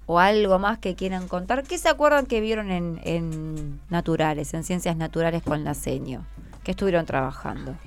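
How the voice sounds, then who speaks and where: A woman's voice: lowish voice, normal speed. An Argentine woman hosting a radio interview, prompting and encouraging with short follow-up questions. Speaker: radio host interviewing schoolchildren. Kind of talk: guided question-and-answer interview. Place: community radio programme. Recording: music playing behind the voice. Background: music.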